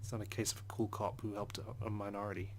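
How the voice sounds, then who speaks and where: A man narrates a game story aloud in a low, flat voice at a quick pace. He reads earnestly, stumbling now and then, with some background noise. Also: creaky. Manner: earnest, occasional stumbles while reading. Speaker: let's play host reading a visual novel aloud. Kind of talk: narration read aloud in first person.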